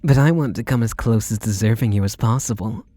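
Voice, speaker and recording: A man's voice: fairly low pitch, brisk, dark tone, no echo, lightly acted. A man playing an eager, submissive, lovestruck butler who gushes earnestly and pleadingly in an intimate ASMR roleplay. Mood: adoring, guilty.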